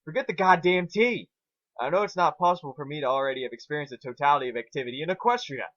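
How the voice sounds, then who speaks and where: Man voicing a grouchy, bellowing pony mare, raised pitch. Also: lively intonation, fast talker, fairly bright tone, dry recording, lo-fi sound. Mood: exasperated, bossy. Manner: bellowing, exasperated outbursts. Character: grouchy, bored, foul-mouthed female pony mage, ranting.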